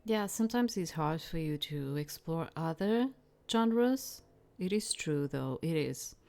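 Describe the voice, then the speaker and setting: Low-voiced woman, chatty game streamer. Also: animated, measured pace, dark tone. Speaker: streamer playing and commenting on a game. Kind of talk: casual let's-play commentary.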